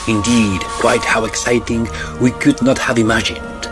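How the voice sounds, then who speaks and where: A man playing a grandiloquent narrator of a Victorian audio drama farce, telling it in a measured storytelling manner. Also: medium pitch, steady tone, music in the background, lightly acted. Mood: wry, storytelling.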